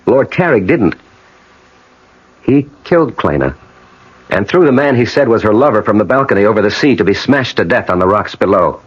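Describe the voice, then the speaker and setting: A restless, earnest advertising man in a radio drama. The voice is lowish and rough-edged, with dramatic, strongly varied intonation. It comes through on a muffled old broadcast recording. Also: big pitch swings, normal speed. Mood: intense, brooding. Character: restless, brooding advertising man hunting his family's past. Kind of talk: 1980 radio drama acting.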